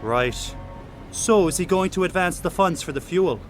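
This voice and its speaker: A man's voice: sits high, expressive, moderate pace, soft background music. An actor playing a practical, worried shipmate who reasons pointedly with his captain in an audio drama. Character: worried, practical male partner of a boat captain. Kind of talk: full-cast audio drama acting.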